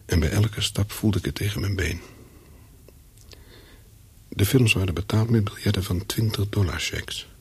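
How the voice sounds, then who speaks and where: A hunted spy courier in a radio thriller, with a low and very husky voice. He narrates in the first person, cool and calculating, in short deliberate sentences. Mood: wary, brooding. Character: hunted male courier of smuggled microfilms, wary, coolly calculating.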